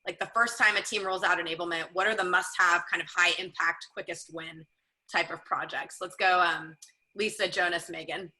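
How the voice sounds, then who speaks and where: A woman's voice: lowish voice, fairly even intonation, normal speed, bright, forceful tone, rough recording. A woman moderating a career panel, upbeat and encouraging as she puts questions to the guests.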